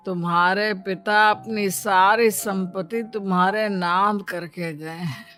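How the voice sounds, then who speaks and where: Woman playing old family manservant, loyal, confiding, avuncular, slow delivery over music. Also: mid-range voice, a bit bright. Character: loyal, knowing old male family servant. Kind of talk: storybook character acting. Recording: music bed behind the voice.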